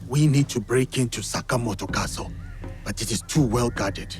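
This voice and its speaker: An actor playing a stoic warrior ally in a video game, terse and direct. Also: male voice, mid-range voice, normal speed, close to an everyday voice. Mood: grim, alert. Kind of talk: video game cutscene acting. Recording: loud music behind the voice. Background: loud music.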